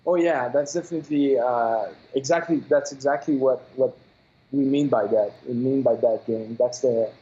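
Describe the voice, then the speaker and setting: A man from Quebec speaks English with a Quebec French accent, French being his first language. He is in his forties, talks in a halting way, and often stops to search for the right word. His voice comes over a dull, lo-fi call line. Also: mid-range voice, dark tone. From Quebec, Canada. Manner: halting, searches for English words, many uh fillers. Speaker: game developer guest. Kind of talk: phone-in interview.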